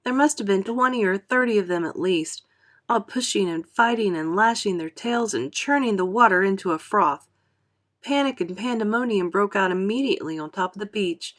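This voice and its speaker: A woman reading a children's novel aloud as an expressive classroom storyteller. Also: mid-range voice, moderate pace. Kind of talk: expressive children's audiobook narration.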